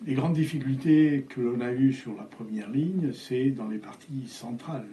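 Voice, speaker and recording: Older man, halting speech, very dark voice. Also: mid-range voice, roomy sound, a little hiss or hum, low-quality recording. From Algeria. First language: Spanish. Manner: hesitant, halting with many fillers and restarts. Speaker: architect guest. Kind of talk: interview.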